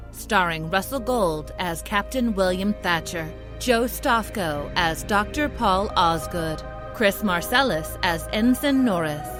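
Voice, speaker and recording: A woman announcer reads scripted credits at an unhurried pace. Music plays clearly behind her voice. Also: on the low side. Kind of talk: scripted announcement for audio drama.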